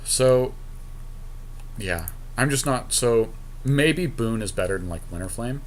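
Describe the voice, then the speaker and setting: A man co-hosting a podcast, chatting casually and informally as he deliberates aloud over a card game draft. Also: mid-range voice, expressive, slight background noise. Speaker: podcast co-host.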